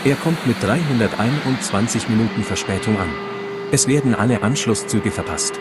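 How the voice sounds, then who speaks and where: A man as a railway announcer in loud noise. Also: medium pitch, normal speed, natural voice. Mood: formal. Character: formal male railway announcer reporting delays. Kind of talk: station announcement in an audio drama. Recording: loud station and vehicle noise.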